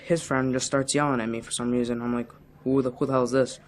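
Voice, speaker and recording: Young man as sullen male student, halting, level monotone, amateur school drama, light noise behind. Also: medium pitch, lightly acted. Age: teenager.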